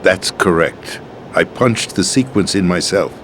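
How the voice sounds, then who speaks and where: An actor playing a disillusioned, world-weary middle-aged American president in a dramatic video game scene, with an emphatic theatrical delivery. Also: male voice, low-pitched, unhurried, fairly bright tone, slight background noise. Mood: bitter, intense. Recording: game soundtrack music underneath.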